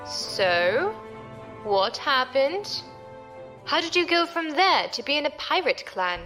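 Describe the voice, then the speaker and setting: A woman's voice: high voice, very animated, takes it slowly, bright, forceful tone, soft background music, heavily acted. An actress playing an earnest, tender-hearted female passenger among space pirates in a science fiction audio drama. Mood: sympathetic.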